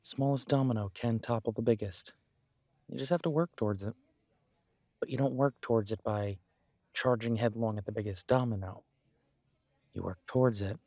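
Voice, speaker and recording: A low, closely recorded, dry voice of a man acting as a supportive male best friend in a roleplay audio. He talks casually and earnestly, pausing as he goes. He is encouraging and happy to poke fun at himself. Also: a little mellow, no echo. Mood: reflective, earnest. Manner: earnest, encouraging, conversational with pauses. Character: supportive, self-deprecating male best friend, young working adult.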